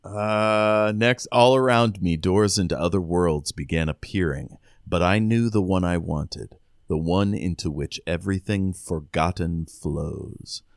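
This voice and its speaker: Low, slow-talking man on a podcast. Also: vocal fry, dry recording, studio quality. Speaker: podcast co-host. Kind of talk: casual book discussion with short read passages.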